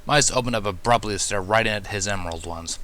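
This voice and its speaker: A man's voice: on the low side, fast, pushed and bright, slight background noise. A man reading fan fiction aloud as scripted first-person story narration.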